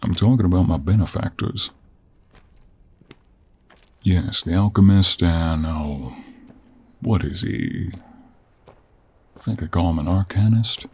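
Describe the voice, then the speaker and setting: A deep-voiced cowboy monster hunter played by a man, drawling in an American Western accent with a husky rasp. He takes his time, gruff and teasing, dropping folksy endearments in an intimate audio roleplay. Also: slow, pauses often, darkish tone, heavily acted. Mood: firm. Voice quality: gravelly, husky.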